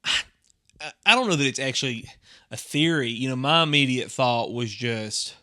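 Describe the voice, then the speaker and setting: A man's voice: mid-range voice, lively intonation, moderate pace, sharp tone, no echo, clean studio sound. A man co-hosting a podcast, thinking aloud in a tentative, casual way as he discusses a horror story. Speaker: podcast co-host.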